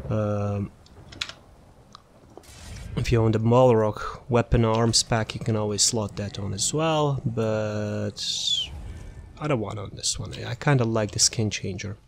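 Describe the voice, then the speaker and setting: A man's voice: lowish voice, a little mellow, slight background noise. A man giving casual, unscripted video game guide commentary, rambling and thinking aloud as he goes.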